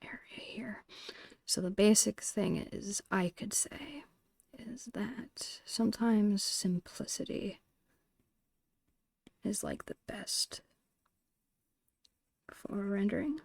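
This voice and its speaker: A woman's voice: medium pitch, slow pace, pauses often, darkish tone, dry recording. A woman whispering in an ASMR style, casually thinking aloud in short phrases as she narrates her own digital painting. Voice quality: breathy whisper.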